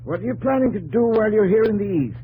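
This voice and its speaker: Hearty higher-voiced army general. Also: male voice, lively intonation, fast, breathy edge, narrow, tinny audio, heavily acted. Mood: hearty, wry. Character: brisk, hearty male army major general. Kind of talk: 1950s radio drama acting. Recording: old radio recording with faint noise.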